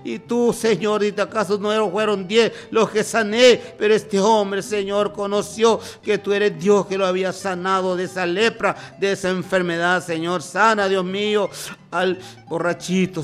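A man's voice: sits high, little pitch movement, moderate pace, soft background music. A man leading an impassioned spoken prayer, pleading and repeating his words in a rhythmic way. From former drug and alcohol user.

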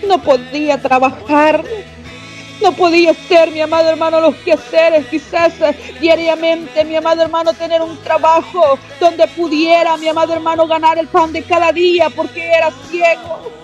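A woman's voice: very high voice, level tone, moderate pace. A woman preaching a fervent sermon, often breaking in with "mi amado hermano" and cries of praise. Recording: dull lo-fi sound with faint music behind.

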